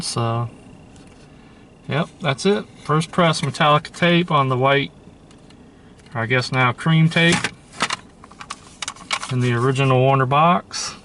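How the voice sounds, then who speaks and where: Male, mid-pitched, informal collector-host musing aloud with opinions, faint noise behind him. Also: fairly bright tone. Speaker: host showing a thrift store tape collection. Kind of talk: casual show-and-tell commentary.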